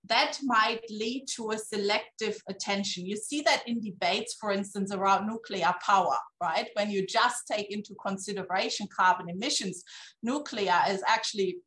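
A woman's voice: mid-range voice, normal speed, fairly bright tone. A woman in her thirties giving a keynote talk over a video call from her office. She talks casually and ends many sentences with "right?". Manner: conversational, tags sentences with "right?". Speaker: keynote speaker. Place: office with a poster on the wall. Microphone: laptop webcam on a video call.

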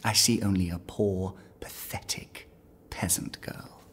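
An actor playing a smug, mocking medieval lord in an audio drama, suave and falsely courteous. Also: male voice, low-pitched, steady tone, a little slow, a bit bright, whispered, big-room sound. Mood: mocking scorn. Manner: suave, mock-courteous, taunting.

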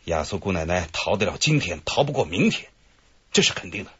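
A boastful, jovial police detective. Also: male voice, low voice, big pitch swings, fairly quick, muffled recording, noisy background. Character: cheerful, boastful middle-aged male police detective. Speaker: police detective leading the operation. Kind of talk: broadcast radio drama acting.

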